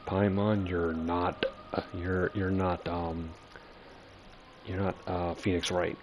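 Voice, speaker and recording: A man giving casual let's play commentary as he plays a story game, halting when he reads text aloud. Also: sits low, level tone. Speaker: gamer playing and commenting on a story quest.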